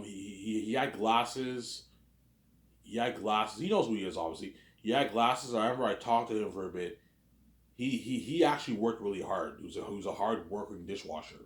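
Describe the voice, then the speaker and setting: Brief: man, 30s, low voice, rambling vlog monologue in an echoey room. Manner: repetitive, keeps adding "obviously" and "man". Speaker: vlogger. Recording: roomy echo.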